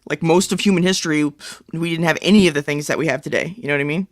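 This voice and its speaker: A middle-aged man chats casually as a podcast co-host. His voice sits a little higher than most men's. The recording is dry and free of room sound. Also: fairly even intonation, rapid-fire, no room sound. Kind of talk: casual chat.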